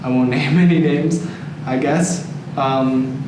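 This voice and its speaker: A Canadian man's voice comes across a very echoey room, some way from the microphone, with clear noise around it. He speaks at a middling pitch, measured and deliberate, as a participant in a reflective group discussion. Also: fairly even intonation. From Toronto, Canada. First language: English. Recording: echoey noisy room, distant mic.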